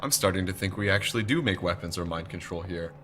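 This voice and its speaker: A man's voice: low-pitched, fast talker, a bit bright, slight background noise. An actor playing a curious young male recruit in an audio drama, earnest, questioning and a little hesitant. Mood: wondering. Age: young adult. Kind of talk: naturalistic audio drama acting.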